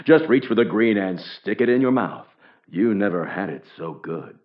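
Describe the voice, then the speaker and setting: A man's voice: lowish voice, lively intonation, moderate pace, lightly acted. Dull-sounding man as slick ad announcer. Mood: salesy. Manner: smooth-selling, emphatic. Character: slick, earnest male cigarette-commercial announcer. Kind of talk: parody television commercial in radio drama. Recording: dull television-commercial sound.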